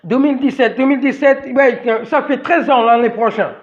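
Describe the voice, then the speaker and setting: A man's voice: very high voice, normal speed. A man who moved to France and speaks French as a second language, delivering an emphatic, repetitive rant straight to his listeners with many restarts. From outside France. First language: not French. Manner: addresses listeners directly. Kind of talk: ranting monologue to camera. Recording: dull lo-fi recording.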